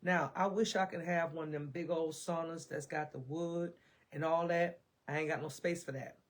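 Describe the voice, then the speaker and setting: A woman's voice: deep voice, steady tone, normal speed. American woman chatting, voice giving out. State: losing her voice. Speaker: self-care and parenting vlogger. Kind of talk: casual chat to camera. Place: indoors at home.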